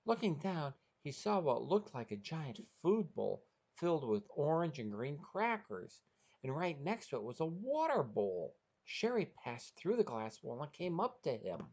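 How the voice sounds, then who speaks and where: A man's voice: a little high, big pitch swings, measured pace, a little mellow, breathy edge, highs cut off. A man hosting a podcast and narrating a short story from a script.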